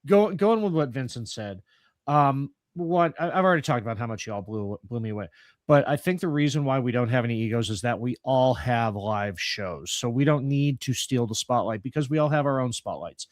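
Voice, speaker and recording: A man with a medium-pitched voice talks casually in a close, dry recording. He is effusive and sweary, chatting as a member of a radio players group. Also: lively intonation, fairly quick, dry recording. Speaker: member of a radio players troupe on a podcast network.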